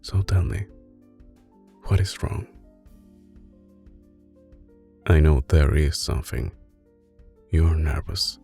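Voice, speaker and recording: Man acting as Italian-accented mob boss boyfriend, low gravelly voice, soft ASMR delivery, controlled, dangerous but doting, music behind. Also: exaggerated voice. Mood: gentle, reassuring. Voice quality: deep, creaky. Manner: slow, deliberate, controlled, intimate. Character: soft-spoken, menacing, doting male mafia boss boyfriend. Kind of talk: ASMR roleplay, soft spoken with whispering.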